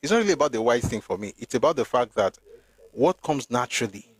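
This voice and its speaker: A man's voice: medium pitch, lively intonation, fast talker, breathy edge, no echo. A middle-aged man with a Nigerian accent, hosting an emphatic, argumentative opinion monologue with frequent restarts. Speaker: host.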